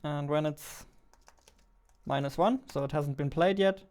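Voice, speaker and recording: Male, twenties, devlog host. Slightly high pitch, gravelly fry at the edges, halting think-aloud delivery. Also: darkish tone. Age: 20s. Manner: halting, muttering to himself. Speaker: game developer, host of a devlog. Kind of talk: casual thinking aloud while coding. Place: indoors at a desk.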